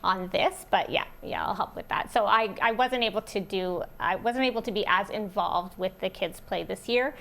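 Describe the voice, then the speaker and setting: Woman, theatre director doing a casual interview, mid pitched voice. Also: moderate pace, gravelly, hardly any pauses, fairly bright tone.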